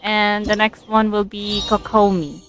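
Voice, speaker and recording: A woman livestreaming a video game, thinking aloud casually in short, halting phrases. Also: mid-range voice, fairly even intonation, fairly bright tone, faint music behind, rough recording. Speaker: game streamer. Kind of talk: casual livestream gameplay commentary.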